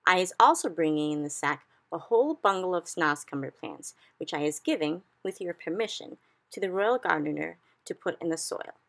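A woman's voice: lowish voice, expressive, normal speed, vocal fry. A woman voicing a big kindly male giant who talks in cheerful, mangled grammar. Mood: pleased. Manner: folksy, ungrammatical, exclamatory.

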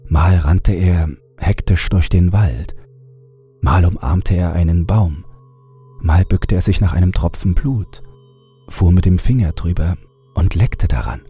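A man narrating a scripted horror story with a husky, raspy voice. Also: deep voice, measured pace, mellow, soft background music.

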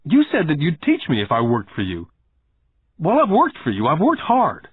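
A man's voice: medium pitch, wildly swooping pitch, brisk, slightly rough, dry recording. A man voicing an indignant, pouting nine-year-old boy who blurts out aggrieved complaints in an audiobook. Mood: angry, nearly tearful. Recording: muffled, phone-like narrow band.

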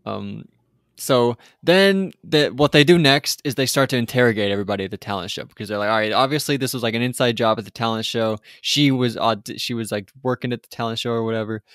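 A man's voice: mid-range voice, fast talker, a bit bright, no room sound, studio quality. A man co-hosting a podcast, recapping in a loose, rambling, informal way. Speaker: podcast co-host. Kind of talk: casual film and TV recap chat.

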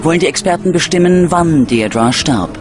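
Man narrating true crime, lively pitch swings. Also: moderate pace, noisy background. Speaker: documentary narrator. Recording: music under the voice. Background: background music.

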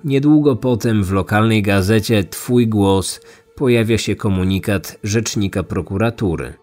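A man's voice: fairly low pitch, measured pace, a little mellow. A man narrating a scripted true crime story as the host of a podcast. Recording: music behind the voice. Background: background music.

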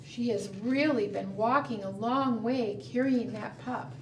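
A middle-aged woman telling a picture-book story to preschoolers in simple, expressive language, often asking the listeners questions. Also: a little high, measured pace, muffled recording. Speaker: children's librarian and storytime host. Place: indoor room, book shown to camera. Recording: roomy, distant mic, background noise.

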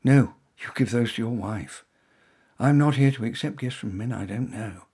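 A man voicing a cheerful woman in her thirties who speaks in a bright, teasing way. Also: medium pitch, normal speed, husky. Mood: distant.

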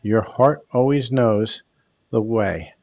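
An older man hosting a live card reading over a headset, speaking in a calm, soothing, unhurried way. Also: medium pitch, muffled recording. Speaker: tarot card reader.

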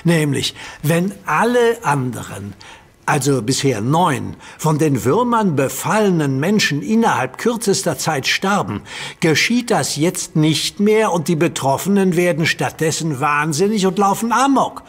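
A man's voice: a little high, very animated, unhurried, breathy edge, heavily acted. An actor playing an earnest young male hero who reports findings in a radio play.

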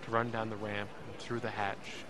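An actor playing an earnest, emotional young man, narrating an audio drama in the first person with deliberate, dramatic pauses. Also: on the low side, flat delivery, noisy background, lightly acted. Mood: earnest, relieved. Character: earnest, emotional young man telling his escape story.

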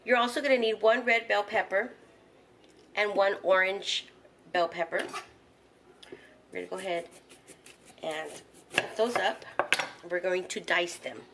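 Woman, middle-aged, home cooking host, step-by-step instructions, relaxed chatty delivery, faint background noise. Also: mid-range voice, measured pace, long pauses. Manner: instructional, walks through each step with fillers. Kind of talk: casual step-by-step cooking narration. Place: home kitchen.

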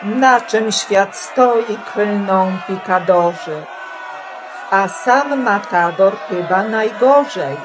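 A woman narrating a rhymed children's story with theatrical, deliberate, emphatic phrasing on a noisy amateur recording. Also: mid-range voice, lively intonation, a crowd in the background. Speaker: storyteller in a community radio play.

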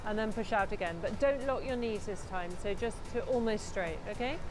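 A woman's voice: medium pitch, brisk. A middle-aged woman instructing someone hands-on through exercises, often checking that they understand. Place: indoor gym. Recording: music behind the voice. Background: music.

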